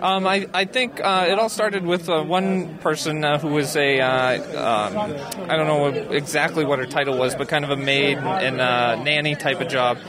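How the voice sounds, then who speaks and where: Casual male interviewee, American, a touch higher-pitched, thinks aloud with pauses, busy venue chatter around. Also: steady tone. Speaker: interview guest, skeptics group founder. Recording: busy crowd chatter behind.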